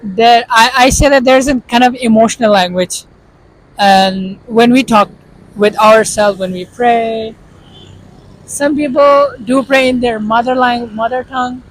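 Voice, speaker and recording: A man's voice: very high voice, a little slow, slight background noise, low-quality recording. A man from Pakistan with a Pakistani accent and Urdu as his first language, giving casual, earnest advice straight to the viewer. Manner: earnest, explaining directly to the viewer. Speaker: vlogger.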